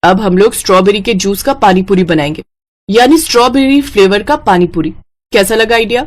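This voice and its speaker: A woman voicing a sharp-tongued, practical elder sister in a children's cartoon story. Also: medium pitch, fairly quick, lightly acted. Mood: practical, encouraging. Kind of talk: animated children's story dialogue.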